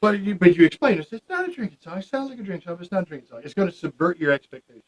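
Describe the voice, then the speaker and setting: A man's voice: high voice, big pitch swings, racing, low-quality recording. A man chatting casually and enthusiastically as a radio interviewer in a studio.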